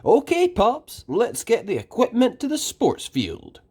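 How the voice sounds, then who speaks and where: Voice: medium pitch, huge pitch swings, unhurried, warm tone, husky, pitched above the performer's own voice, exaggerated voice. A storyteller voicing an upbeat young boy team leader in a children's story, rallying and confident.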